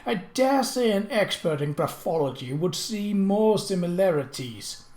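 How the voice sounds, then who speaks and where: A narrator voicing an imperious, mocking British prince in an audiobook, pompous and theatrical, with deliberate, drawn-out emphasis. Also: mid-range voice, very animated, darkish tone, roomy sound, pitched above the performer's own voice. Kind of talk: audiobook character voice.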